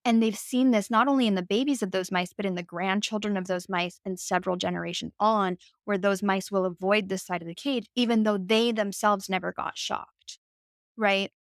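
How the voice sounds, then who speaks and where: A woman's voice: mid-range voice, steady tone, normal speed, no room sound, studio quality. A woman speaking as a podcast guest, talking in a reflective way with lots of "like" and "right?". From United States. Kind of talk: conversational interview.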